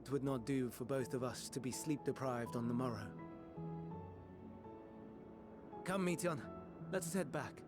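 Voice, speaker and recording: An actor playing a melancholy, idealistic scholar in a video game, speaking in measured, formal, archaic phrases. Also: male voice, medium pitch, over music, close to an everyday voice. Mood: calm, courteous. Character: soft-spoken melancholy male scholar, idealistic, quietly tormented. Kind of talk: dramatic video game voice acting.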